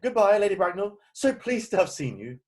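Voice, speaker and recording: A man playing a proper, earnest gentleman guardian in an amateur play reading, formal and stiffly indignant. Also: high-pitched, sing-song, fairly quick. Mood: politely terse. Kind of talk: amateur play read-through.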